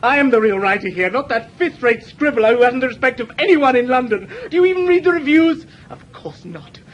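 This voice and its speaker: A man's voice: very high-pitched, animated, a little fast, slight background noise. Man acting an anguished, impassioned young writer son. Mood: furious. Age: young adult. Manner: impassioned, histrionic, formal period diction. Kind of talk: theatrical period drama acting.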